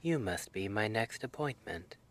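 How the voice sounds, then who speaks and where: A man playing a gentle, reassuring clinician at a dream institute in an ASMR roleplay, soft-spoken and soothing, often slipping into a whisper. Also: fairly low pitch, lively intonation, a little slow. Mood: welcoming, promotional.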